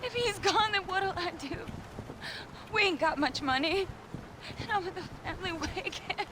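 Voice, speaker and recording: An actress playing a distraught, crying, poor American frontier wife pleading in a western video game. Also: female voice, extremely high pitch, normal speed. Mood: tearful, desperate. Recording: noisy ambience behind.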